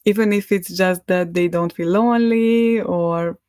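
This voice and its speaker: A woman's voice: lowish voice, unhurried, a little mellow, clear, resonant tone, no echo, clean studio sound. Young Hungarian-accented woman, rambling. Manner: rambling, hesitant, laughs often between thoughts. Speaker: podcast guest. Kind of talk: casual conversation.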